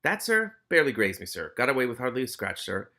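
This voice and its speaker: A man voicing a wily, grumbling streetwise cat in a storybook reading, quick to hedge and bargain. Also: medium pitch, sing-song, sharp tone. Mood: nervous, deferential. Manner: quick-fire, hedging, sardonic. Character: wily talking male cat, streetwise, grumbling, anxiously deferential.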